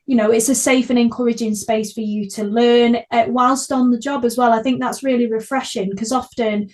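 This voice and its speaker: A woman's voice: a little high, steady tone, normal speed, a little mellow. A woman hosting a podcast, chatting casually in an enthusiastic, effusive way. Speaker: podcast host.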